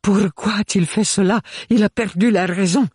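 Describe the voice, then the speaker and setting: A husky-voiced male narrator voicing a trembling, desperate aristocratic woman in an audiobook. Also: mid-range voice, steady tone, normal speed, darkish tone, dry recording, clean studio sound, voice pushed up, lightly acted. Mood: pleading. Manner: pleading, trembling, toneless. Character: shaken aristocratic noblewoman, desperate.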